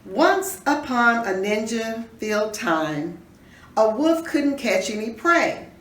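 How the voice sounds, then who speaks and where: A woman reading a children's story aloud in an expressive, teacherly way, pausing to put questions to her listeners. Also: on the high side. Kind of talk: dramatic read-aloud with questions to young listeners. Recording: roomy echo, distant mic, faint noise.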